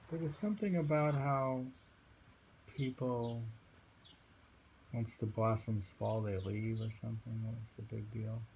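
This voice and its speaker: Slow, gravelly, low-voiced man, musing. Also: a little mellow. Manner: musing, halting, with frequent hums and pauses. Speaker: poetry reader. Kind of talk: reading short poems aloud with casual commentary. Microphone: handheld phone. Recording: noisy amateur phone recording.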